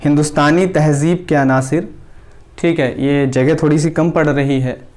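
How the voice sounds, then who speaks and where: A male teacher giving a classroom lecture at a board, dictating names slowly word by word and answering his own questions. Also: on the high side, fairly even intonation, darkish tone. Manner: asks the class questions and answers them himself.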